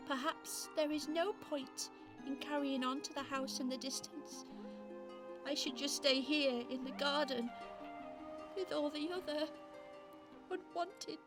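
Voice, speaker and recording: A woman playing a sad, scared little toy in a children's radio play, plaintive, hesitant and full of self-doubt. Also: high voice. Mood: forlorn.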